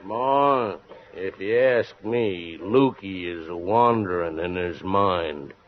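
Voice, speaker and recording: A man's voice: low voice, slow pace, crowd noise, background noise. An actor playing a grumbling hillbilly farmer father with a dry rural Southern drawl in an old-time radio comedy. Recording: tinny, narrow vintage radio recording.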